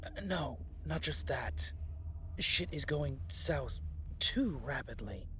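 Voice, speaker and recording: An actor playing a strong, level-headed rebel brother in a sci-fi audio drama, speaking in a casual, urgent, slangy way. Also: male voice, mid-range voice, lively intonation, slow, fairly bright tone. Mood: worried. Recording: clear background noise, ambience.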